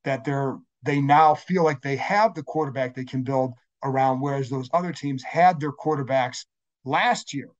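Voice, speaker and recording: A man with a scratchy, hoarse voice hosting a solo sports podcast, talking conversationally and often correcting himself mid-sentence. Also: mid-range voice, moderate pace, highs cut off. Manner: conversational, frequent self-corrections and fillers. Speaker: podcast host.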